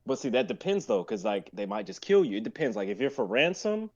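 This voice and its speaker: A man's voice: mid-range voice, very animated, a little fast, a little mellow, muffled recording. A man in his twenties chatting casually on a group livestream, explaining things in a rambling, circling way. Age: 20s. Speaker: writer and performer on a group livestream. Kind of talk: casual chat.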